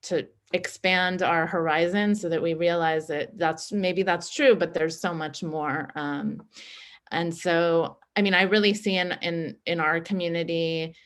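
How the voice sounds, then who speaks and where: A young woman from Oakland with a somewhat low voice, speaking over a video call. She sounds chatty and upbeat, and she fills her talk with likes and ums as she speaks on a panel. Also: fairly even intonation, unhurried. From Oakland, California.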